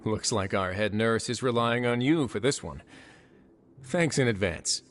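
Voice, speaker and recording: Male actor for composed warden in a game: medium pitch, articulate, measured, quietly authoritative. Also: lightly acted. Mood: thoughtful. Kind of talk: video game voice acting.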